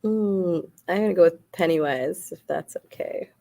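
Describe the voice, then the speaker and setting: A woman's voice: on the low side, moderate pace, vocal fry, dark tone. A woman guest in a casual podcast debate, rambling with lots of "like" fillers as she argues her point. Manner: rambling, heavy use of "like" fillers.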